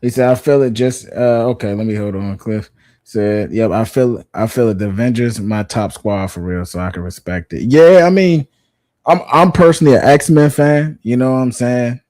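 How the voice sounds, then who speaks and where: A man's voice: mid-range voice, moderate pace, darkish tone, no room sound. Laid-back man in his thirties, slangy. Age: 30s. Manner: laid-back, slangy, repeats himself for emphasis. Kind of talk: casual pop culture chat.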